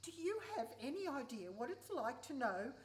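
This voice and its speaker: A woman's voice: high voice, sing-song, moderate pace, slight background noise. A woman playing a stubborn, lonely widowed older mother in a live radio play, wry and reproachful. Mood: lonely, bitter. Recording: echoey live theatre recording.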